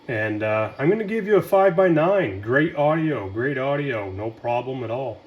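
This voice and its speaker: A man's voice: mid-range voice, moderate pace, muffled recording. Chatty ham radio man. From Maine. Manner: chatty, rambling, relaxed. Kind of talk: casual radio chat.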